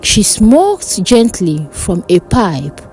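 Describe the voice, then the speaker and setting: A woman narrating a dark folk tale as a storyteller, in a deliberate, theatrical manner. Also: medium pitch, very animated, faint music behind.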